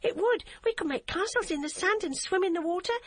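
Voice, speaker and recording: A woman's voice: extremely high pitch, expressive, brisk, a bit bright, dry recording, clean studio sound, pitched above the performer's own voice. A woman voicing an earnest, anxious eight-year-old girl who talks in an eager rush. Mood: pleading. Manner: eager, breathless rush of words.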